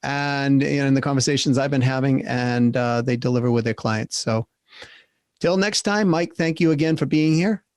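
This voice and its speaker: A man's voice: medium pitch, moderate pace, no echo, studio quality. A middle-aged man with a Boston accent hosting a business podcast, upbeat and emphatic, speeding up in bursts. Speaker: podcast host. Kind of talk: interview hosting and wrap-up.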